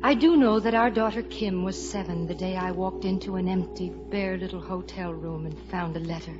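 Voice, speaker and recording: An actress playing a wistful, devoted wife in a 1950s radio drama, speaking in an earnest, tender, dramatic way. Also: female voice, lowish voice, fairly even intonation, normal speed, close to an everyday voice. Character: wistful, devoted wife looking back on lost love. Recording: muffled vintage radio recording. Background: music.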